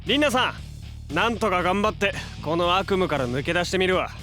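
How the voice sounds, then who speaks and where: High-voiced, cocky young hero. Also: male voice, lively intonation, a little fast, sharp tone, faint music behind. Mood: confident, reassuring. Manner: casual, punchy, confident slangy delivery. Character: cocky, loyal young male hero, quick-witted. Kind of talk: Japanese audio drama acting.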